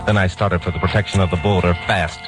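Low-voiced hard-boiled American radio investigator played by a man. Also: fairly even intonation, quick pace, lightly acted. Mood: tense, hard-boiled. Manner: wry, laconic, hard-boiled. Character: wry, flirtatious tough male investigator, narrating his own adventure. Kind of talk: 1950s radio drama acting and first-person narration. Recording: 1950s radio recording with music behind.

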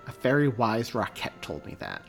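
A man's voice: lowish voice, wildly swooping pitch, normal speed, gravelly, fairly bright tone, faint music behind, exaggerated voice. A man voicing an easygoing, reassuring young schoolboy in a storybook reading. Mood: calm. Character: good-natured, calm young schoolboy.

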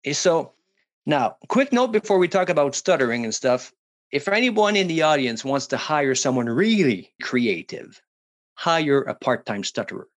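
A man from French Canada, whose first language is French, talking as a guest on an interview show in a jokey, animated way with some restarts. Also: on the high side, normal speed. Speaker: guest, public speaking coach. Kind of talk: casual interview talk. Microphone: studio headphones and mic.

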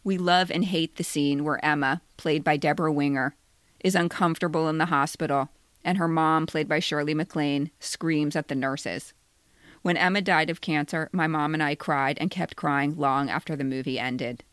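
A woman's voice: low-pitched, steady tone, moderate pace, a bit bright, smooth, dry recording. American woman reading a personal essay, dry sound. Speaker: podcast co-host reading their own essay. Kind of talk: scripted personal narration.

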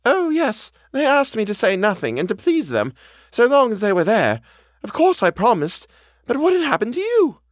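A man's voice: very high voice, huge pitch swings, normal speed, no echo, clean studio sound, pitched above the performer's own voice, full character voice. A man voicing a kindly, simple older woman housekeeper in an audiobook, anxious and earnest, often startled and questioning. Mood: placid, puzzled. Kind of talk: audiobook character dialogue.

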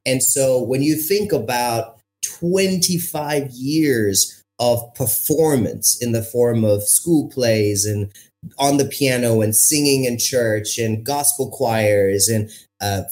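A man in his thirties from India, a podcast guest telling his story in an animated way, with emphatic phrasing and pace that keeps shifting. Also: medium pitch, slow. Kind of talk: storytelling interview answer.

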